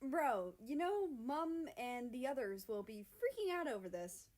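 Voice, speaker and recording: Storybook excitable teasing guy, voiced by a woman, crowing, high-leaning pitch, drawn-out emphasis. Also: heavily acted. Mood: excited. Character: Canada, excitable crowing teasing brother on phone.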